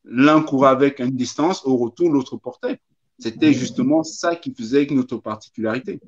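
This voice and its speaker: A man's voice: mid-range voice, normal speed. A man in his fifties, a former footballer, talking emphatically as a guest on a video call and repeating his points. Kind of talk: casual interview on a video call. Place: indoors, small room. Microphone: phone or webcam on a video call. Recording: slightly dull video-call audio.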